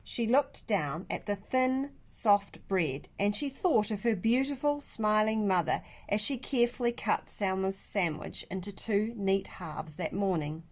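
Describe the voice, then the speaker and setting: Woman reading a kids story out loud, really lively pitch, takes her time. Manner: animated, deliberate, with dramatic emphasis. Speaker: teacher reading a children's story aloud. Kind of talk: expressive picture-book storytelling.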